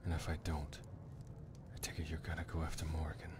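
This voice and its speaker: A guarded, sombre adventurer from a fantasy game, played by a man. The voice is extremely deep and stays flat and understated. There is clear noise behind him. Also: fast. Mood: grim, suspicious. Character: guarded, wary male adventurer in trouble. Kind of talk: video game mod voice acting.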